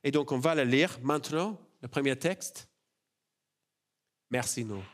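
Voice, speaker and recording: Australian-accented man preaching slowly. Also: medium pitch. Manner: relaxed and personal, many fillers and self-corrections. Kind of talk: sermon.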